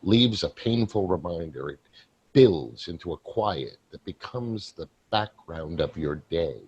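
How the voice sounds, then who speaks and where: Guy acting a weary dead coworker's ghost in a play, darkly joking, deep rough voice that swings a lot. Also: lively intonation, a little mellow, muffled recording, heavily acted. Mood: weary, reflective. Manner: wry, halting, reflective. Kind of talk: staged play reading.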